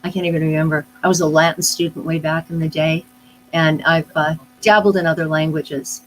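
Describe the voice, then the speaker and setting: A middle-aged woman, a speech pathologist, answering interview questions in a thoughtful, unhurried way, with many pauses and restarts. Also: sits low, steady tone, rough recording. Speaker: speech-language pathologist guest. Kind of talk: live online interview, explaining casually.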